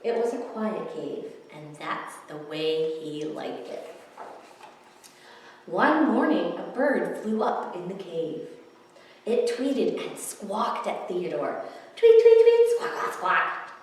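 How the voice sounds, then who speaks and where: A woman reading picture books aloud to children in an expressive way, with asides to her listeners. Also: fairly low pitch, takes it slowly. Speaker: children's librarian, story time reader. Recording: echoey hall, far from the mic.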